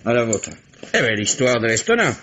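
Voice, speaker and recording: An actor playing a sly, boastful old village rogue in a 1950s radio drama. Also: male voice, medium pitch, very animated, brisk, fairly bright tone, slight background noise. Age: older.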